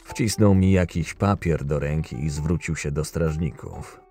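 A man's voice: a bass voice, a little slow, warm tone, soft background music. A man playing a proud, short-tempered, cynical camp enforcer who narrates his own tale in a fantasy audio drama, sardonic and menacing. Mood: sardonic, brooding.

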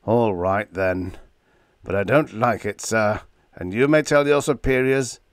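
A man voicing a gruff, irascible old male spaceship captain who blusters and roars. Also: on the low side, normal speed, no echo, studio quality, natural voice. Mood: grudging.

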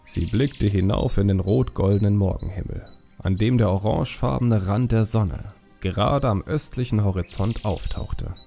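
Low, gravelly male storyteller. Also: moderate pace, dark tone, soft background music. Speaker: audiobook narrator. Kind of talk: scripted storytelling narration.

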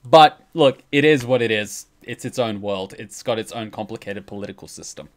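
A man's voice: lowish voice, moderate pace. A young man giving casual, wry, joking commentary as a streamer reacting to videos. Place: indoor room, livestream webcam setup.

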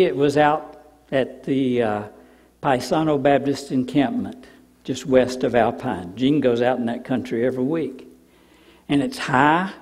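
An older man, a pastor preaching a sermon in a church sanctuary, telling stories and dropping to soft, emphatic repeats. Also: mid-range voice. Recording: long reverb of a church hall.

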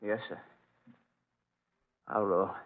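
An actor playing a touchy, distressed but polite young son in an old-time radio drama, clipped and defensive. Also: male voice, on the low side, level tone, drawn-out words. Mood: subdued. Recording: muffled narrowband old radio recording.

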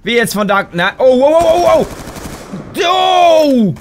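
A young man commentating his own gameplay on a headset mic, excitable and quick to shout, a little hoarse and tired. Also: very high-pitched, slight background noise. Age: young adult. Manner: excitable, exclaims and shouts at the game. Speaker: gaming YouTuber. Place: home gaming room, indoors.

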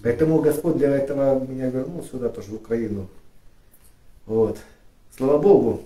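Middle-aged man, pastor, sermon, halting delivery, mellow tone, distant mic. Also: mid-range voice. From Ukraine. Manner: halting, many fillers and restarts, rising emphatic when reading scripture. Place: church studio with flags and lit cross. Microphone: far camera. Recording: echoey room, voice from a distance.

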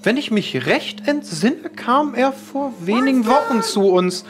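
Man voicing a prim, higher-pitched housekeeper lady. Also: lively intonation. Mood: reserved, disapproving. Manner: formal, measured, politely disapproving. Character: prim, discreet female housekeeper of an English manor.

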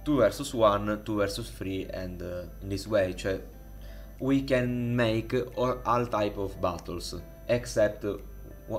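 A man with an Italian accent, speaking English as a second language, walks through a modding tutorial in a halting way, with many fillers and restarts, recorded on a desktop microphone. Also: on the low side. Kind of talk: casual screen-recorded tutorial. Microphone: desktop USB microphone.

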